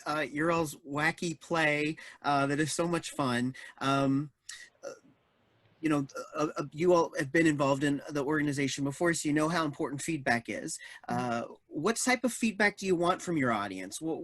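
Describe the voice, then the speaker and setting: A man moderating a casual feedback chat, upbeat, with halting, pause-filled phrasing. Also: fairly high pitch, a bit bright, no echo.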